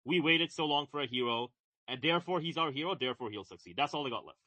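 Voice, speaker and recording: Emphatic young man, bright dry voice. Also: on the high side, lively intonation, fast talker, no echo, rough recording. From Bangladesh. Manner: emphatic, repeats words, many "right?" and "like". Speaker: podcast co-host. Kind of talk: casual political chat.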